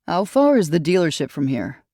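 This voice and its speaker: A tall, composed detective who keeps his questions friendly and level, then turns blunt, as an audiobook narrator voices him. A mid-pitched voice, close and dry on the mic. Crime audiobook. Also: sing-song, fairly quick, dark tone, no room sound. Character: tall male police detective, calm and probing.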